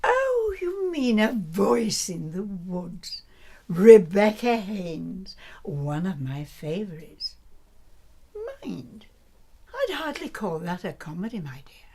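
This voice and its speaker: A woman as a grand old-school radio actress, husky and very unhurried. She swoops theatrically through her condescending, cryptic lines in a drama. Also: mid-range voice, sing-song, warm tone, full character voice. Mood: wistful, gushing. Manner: theatrical, condescending endearments, deliberate.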